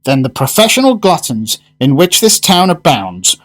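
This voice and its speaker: An actor playing a knowing gourmet connoisseur in a radio drama, speaking with formal, emphatic and reverent phrasing. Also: male voice, fairly high pitch, sing-song, normal speed.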